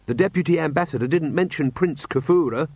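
A man's voice: fairly high pitch, fairly quick, dry recording, highs cut off, pitched above the performer's own voice. A man voicing an earnest, dutiful young watch officer with a respectful, matter-of-fact manner.

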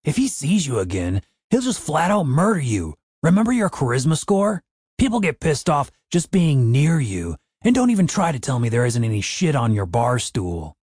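A man's voice: mid-range voice, very animated, brisk, few pauses, darkish tone, breathy edge, no echo, clean studio sound. A man voicing an anxious, exasperated male adventurer in a fantasy audiobook, scolding and pleading in an indignant tone.

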